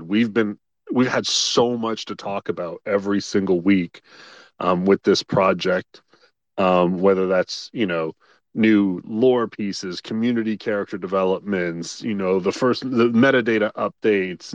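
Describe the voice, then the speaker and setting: A man co-hosting a casual podcast chat, rambling in quick bursts with lots of fillers. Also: fairly low pitch, no echo. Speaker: co-host.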